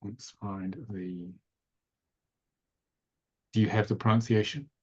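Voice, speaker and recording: A man's voice: lowish voice, slow, slightly rough, muffled recording. A man leading a training session, chatting expressively and telling stories on a video call. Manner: expressive, talkative, anecdotal. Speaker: course instructor. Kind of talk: casual teaching chat on a video call.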